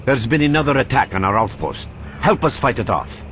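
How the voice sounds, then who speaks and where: An actor playing the earnest leader of a secretive research faction in a video game, explaining in a measured way. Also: male voice, mid-range voice, expressive, fairly bright tone, natural voice. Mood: grave, persuasive. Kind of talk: video game character dialogue, expository. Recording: clearly noisy ambient background.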